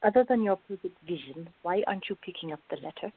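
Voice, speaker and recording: A woman playing a patient, sensible, gently firm woman in a radio drama. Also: lowish voice, quick pace, slight background noise. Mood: exasperated, firm. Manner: calm, reasoning, gently firm. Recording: muffled, phone-like narrow-band audio.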